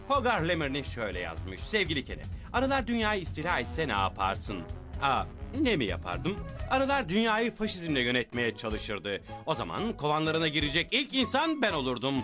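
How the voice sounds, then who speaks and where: Dubbed cartoon superhero, big, dim and boastful, high animated delivery. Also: male voice. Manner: grandiose, speech-making.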